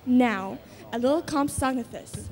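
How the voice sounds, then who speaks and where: A woman narrating a scripted children's story on stage in a deliberate, dramatic storytelling manner. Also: a little high, darkish tone.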